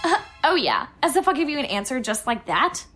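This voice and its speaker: A woman's voice: high-pitched, sing-song, brisk, music in the background. A woman playing a haughty, boastful, whiny young female unicorn in a fan cartoon, speaking theatrically. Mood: defensive, scheming.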